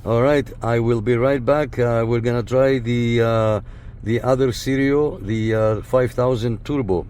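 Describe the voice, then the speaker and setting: A man's voice: mid-range voice, fairly even intonation, measured pace, a little mellow, clean tone. A man hosting a casual hands-on radio gear test, speaking in short repetitive call-style phrases. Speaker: host of a CB radio antenna test. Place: inside a parked car and outside beside it. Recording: noisy car and outdoor background.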